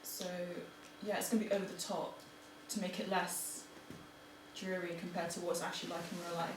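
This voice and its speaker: A young woman giving an informal student presentation in a halting, hesitant way. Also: lowish voice, little pitch movement. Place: room in front of an audience. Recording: echoey, noisy room, distant mic.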